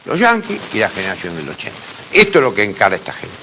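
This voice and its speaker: A man's voice: fairly low pitch, huge pitch swings, fast, bright, forceful tone, slight background noise. A man with an Argentine accent giving an informal academic lecture in an emphatic way, repeating phrases for stress. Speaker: lecturer in philosophy.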